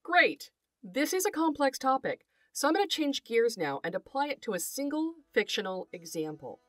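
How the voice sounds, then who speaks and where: Woman podcast host with lively, expressive intonation. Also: high-pitched, moderate pace, no echo, studio quality. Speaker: podcast host, folklore scholar. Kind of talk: scripted educational podcast narration.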